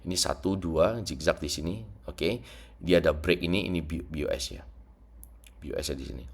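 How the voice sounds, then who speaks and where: A man's voice: a bass voice, fairly quick, vocal fry, slightly rough. A man with a congested, stuffy nose, explaining trading charts in a casual screen-share tutorial and mixing English terms into his talk. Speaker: trading teacher.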